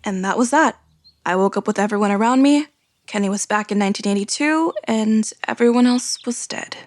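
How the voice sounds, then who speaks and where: A woman's voice: mid-range voice, a little fast, no room sound, lightly acted. An actress playing a grieving but determined young woman in an audio drama, serious and terse. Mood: bleak, grieving.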